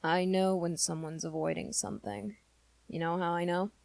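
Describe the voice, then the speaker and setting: A woman's voice: on the low side, steady tone, gravelly, darkish tone, no echo. A woman voicing an awkward, earnest teenage werewolf boy in an intimate, hesitant ASMR roleplay. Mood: flustered, insistent. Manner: hesitant, halting, rambling confession to listener.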